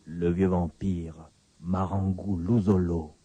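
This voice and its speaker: A man narrating a scripted radio horror story in a deliberate, ominous way. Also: sits low, little pitch movement, dark tone, breathy edge, no echo. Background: faint music.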